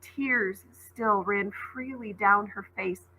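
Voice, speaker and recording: Female read-aloud teacher: dramatic, emphatic storytelling, bright forceful voice with a slightly raspy edge. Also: medium pitch, slow, rough recording. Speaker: teacher reading aloud to students.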